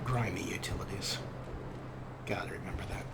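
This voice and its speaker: A man's voice: low voice, normal speed, very noisy. A man hosting a game let's play, commenting in a sarcastic, self-mocking way with casual swearing. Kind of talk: gameplay commentary.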